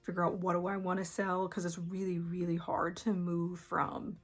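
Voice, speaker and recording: Quiet, husky-voiced young woman. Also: on the low side, flat delivery, moderate pace. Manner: soft-spoken, many fillers and restarts. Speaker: artist explaining her small art business. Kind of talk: casual rambling vlog.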